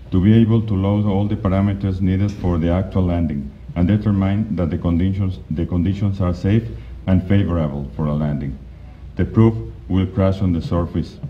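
Man, very low voice, halting conference presenter with many restarts; dull lo-fi recording, faint hiss. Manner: halting, with frequent restarts and fillers. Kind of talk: slide presentation talk. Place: conference hall.

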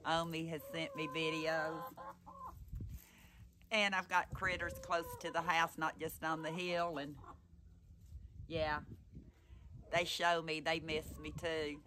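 A woman's voice: deep voice, flat delivery, slow pace, long pauses, bright, forceful tone. Folksy older Southern woman, noisy recording. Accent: Southern American. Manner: folksy, rambling. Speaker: vlogger. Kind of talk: casual chat to camera. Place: in a parked car and outdoors in a yard. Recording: noisy outdoor and in-car recording.

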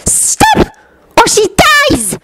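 A woman voicing a cruel, gloating dark witch in a high, shrieking, taunting voice for a storybook reading. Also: normal speed, slight background noise. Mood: shrieking threat. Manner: dismissive, taunting.

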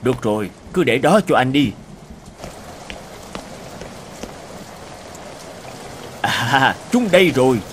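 Actor as an animated, eager cartoon inventor. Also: male voice, medium pitch, normal speed, slight background noise, natural voice. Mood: musing. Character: eager, inventive husband, middle-aged man. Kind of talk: cartoon dubbing. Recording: clear music and rain behind.

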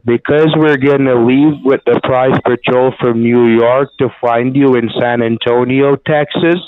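A man on a phone call delivering a formal, scripted prize pitch in an overly reassuring manner. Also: steady tone, measured pace. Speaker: phone scammer. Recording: tinny phone line.

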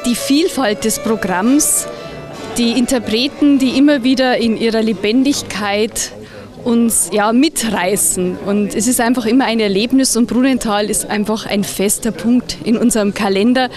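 A woman's voice: fairly high pitch, moderate pace, darkish tone, faint music behind. A middle-aged woman from Bavaria, a concertgoer speaking enthusiastically in an outdoor interview into a handheld mic. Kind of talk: street interview. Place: outdoors by a church. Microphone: handheld reporter mic.

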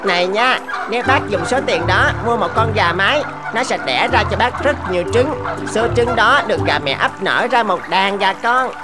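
A woman voicing a small, cunning, sweet-talking goldfish in an animated fable, coaxing and expressive. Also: mid-range voice, normal speed, few pauses, over loud music, lightly acted.

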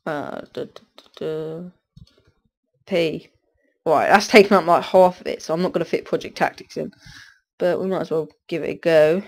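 A man's voice: fairly high pitch, moderate pace, vocal fry, pauses often, darkish tone. A teenage boy with a stuffy cold, rambling through casual gameplay commentary and often breaking off mid-sentence. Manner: rambling, self-interrupting, distracted. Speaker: gaming commentator.

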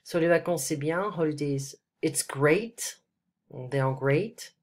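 Woman, deep voice, stuffy cold, patient tutor, screen-recorded lesson. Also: measured pace. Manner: switches between French and English, explaining patiently with frequent "ok?". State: has a cold, stuffy nose. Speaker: French tutor. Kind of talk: one-on-one screencast language lesson. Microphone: computer mic, voice-over screen recording.

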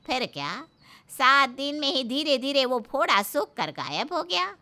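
A woman's voice: sits high, sing-song, measured pace, bright, forceful tone, voice pushed up, exaggerated voice. A woman voicing an opinionated elderly village aunt in a cartoon story, grumbling and folksy. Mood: grumbling, nostalgic.

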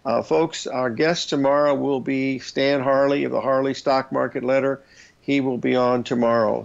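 Gravelly older man hosting, folksy. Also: medium pitch, muffled recording. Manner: folksy, chatty, calls listeners folks. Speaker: show host. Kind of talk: casual market chart talk. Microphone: headset.

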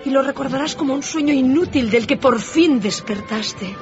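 An actress playing a sorrowful, gentle young woman in a radio drama. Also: sits high, expressive, normal speed, lo-fi sound. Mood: sad, resigned. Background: music.

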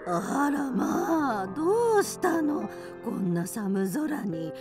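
A woman's voice: high voice, very animated, slow pace, over music, exaggerated voice. A woman playing a kindly, fussing old grandmother field mouse with old-fashioned phrasing, in a radio drama. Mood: concerned.

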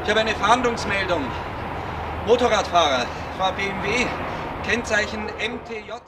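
A man playing a brisk junior police detective in a German crime radio drama. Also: high-pitched, lightly acted. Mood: hurried. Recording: distant, very noisy recording.

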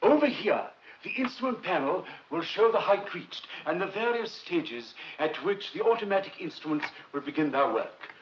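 A confident, visionary professor in a 1950s film drama. He lectures formally in a slightly high, animated male voice. The old soundtrack is dull, with faint noise. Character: confident, visionary professor leading a rocket team.

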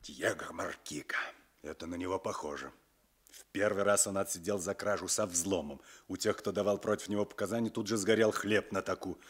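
A rough police sergeant in an old Soviet radio play. The voice is low and husky, that of a bully who answers to his captain. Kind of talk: Soviet 1960s radio drama acting.

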